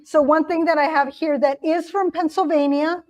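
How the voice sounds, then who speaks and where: Woman, high voice, chatty co-host, telling stories with pauses. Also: fairly even intonation, darkish tone. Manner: chatty storyteller, fills pauses with uh and um. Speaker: podcast co-host. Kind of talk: casual chat.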